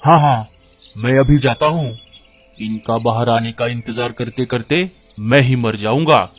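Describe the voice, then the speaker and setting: A greedy male eagle from a cartoon, acted by a man, always hungry for bigger prey. The voice sits at a middle pitch, with music playing under it. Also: unhurried, close to an everyday voice. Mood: eager, impatient. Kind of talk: cartoon dialogue.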